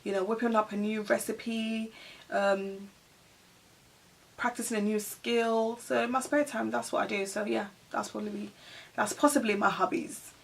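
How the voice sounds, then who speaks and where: A woman's voice: mid-range voice, fairly even intonation, normal speed, vocal fry. Woman from ghana, chatty vlogger, rambling, slightly distant voice. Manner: chatty, rambling, many "like" and "you know". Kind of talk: casual get-to-know-me chat. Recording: voice a bit distant from the mic.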